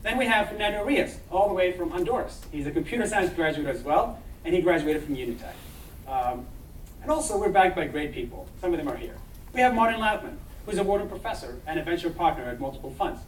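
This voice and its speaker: A young man from Israel giving an eager startup pitch, talking fast and restarting his phrases. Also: expressive, slight background noise. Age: young adult. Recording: echoey hall, mic from far off.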